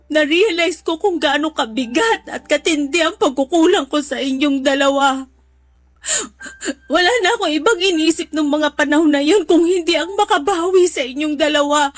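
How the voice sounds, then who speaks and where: A very high-pitched, tearful mother played by a woman pleads with deep remorse and protectiveness. It is emotional radio drama acting, with faint music playing underneath. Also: close to an everyday voice. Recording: faint music bed behind the voice.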